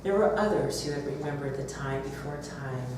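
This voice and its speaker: A woman's voice: low voice, moderate pace. A woman author speaking and reading aloud at a live event. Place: bookstore, audience event. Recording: roomy, distant, noisy live event recording.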